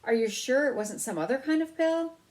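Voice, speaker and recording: A woman's voice: high-pitched, expressive, fairly quick, darkish tone, voice pushed up. A woman voicing a fretful mother mouse, anxious and fond of her son, in a storybook reading. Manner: anxious, sighing, nervous.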